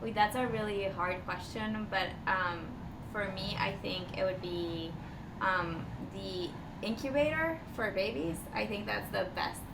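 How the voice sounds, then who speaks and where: A woman's voice: medium pitch, unhurried. A woman from Costa Rica answering interview questions casually as a podcast guest, with thoughtful, hesitant phrasing. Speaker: podcast guest, design strategist. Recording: distant mic, echoey, clearly noisy background.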